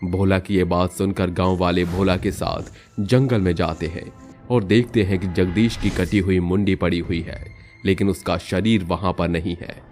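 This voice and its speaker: A man narrating a scripted horror story for a cartoon storybook channel, steady and dramatic. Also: low voice, moderate pace, faint music behind.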